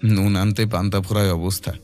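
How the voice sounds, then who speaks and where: A man's voice: lowish voice, flat delivery, brisk, darkish tone, soft background music. A man narrating a scripted horror audio story in a dramatic storytelling style.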